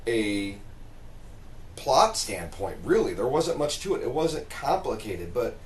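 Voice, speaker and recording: Animated man in a roomy echo. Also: mid-range voice, measured pace. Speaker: podcast co-host. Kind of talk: casual panel discussion. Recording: roomy echo, faint background noise.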